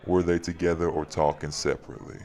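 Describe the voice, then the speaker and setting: A man's voice: fairly even intonation, fast, over a noisy crowd. A man playing a bossy, fussing party host and shopkeeper in an audio drama. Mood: composed, dry. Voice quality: very deep, gravelly. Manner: scolding, exasperated, folksy. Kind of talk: audio roleplay acting.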